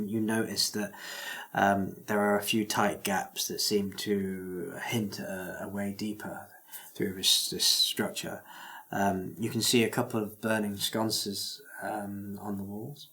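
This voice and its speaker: A man narrating a tabletop game as game master, describing scenes in a halting, searching way. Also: lowish voice, a bit bright.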